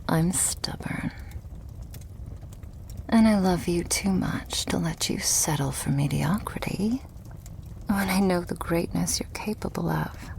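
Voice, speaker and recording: ASMR roleplay casting: woman as devoted, stubborn vampire girlfriend, lowish soft breathy voice, unhurried intimate delivery, lightly teasing, creaky edges. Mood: coaxing. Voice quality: soft, breathy, often whispered. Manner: slow, tender, coaxing, lightly teasing. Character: loving, doting, stubborn female vampire girlfriend.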